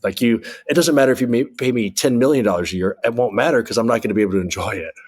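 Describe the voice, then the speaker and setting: American guy in an interview, air traffic controller type, blunt and emphatic, normal mid pitch. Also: rapid-fire, a little mellow. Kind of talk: candid conversational interview.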